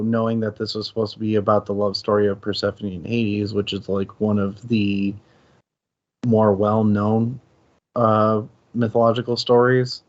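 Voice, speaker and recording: A man talking casually as co-host of a book discussion show. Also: fairly low pitch. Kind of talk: casual chat. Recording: dull, muffled lo-fi audio.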